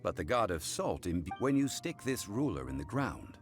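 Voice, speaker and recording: An actor playing a calm, erudite gentleman consultant in a video game, speaking formally and with measured composure. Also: on the low side, faint music behind. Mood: composed, explanatory. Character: calm, erudite, courteous gentleman consultant guiding treasure hunters. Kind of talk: English video game voice acting.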